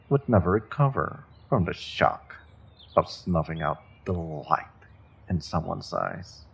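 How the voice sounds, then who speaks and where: A man playing a smug, mock-kind evil sorcerer in a fantasy audio roleplay, condescending and quietly threatening to his captive, with a deep, husky, gravelly voice. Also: heavily acted. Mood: mocking pity.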